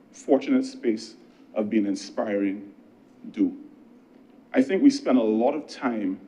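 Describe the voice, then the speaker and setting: A man with a Barbadian accent delivering a parliamentary speech as a government minister, conversational and earnest, with emphatic pauses. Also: on the low side, mellow. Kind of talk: political speech in parliament with anecdotes.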